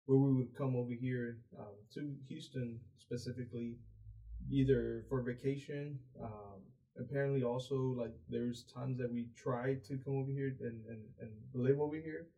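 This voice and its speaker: A man's voice: mid-range voice, steady tone, normal speed, dark tone, poor audio. A young man raised in Mexico, a podcast guest chatting casually, rambling with many fillers and restarts. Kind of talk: casual interview chat.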